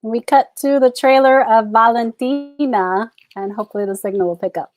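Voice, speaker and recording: A woman's voice: fairly high pitch, moderate pace. A woman from New York with a toned-down New York accent, hosting a live entertainment interview in an effusive way and laughing often. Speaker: host.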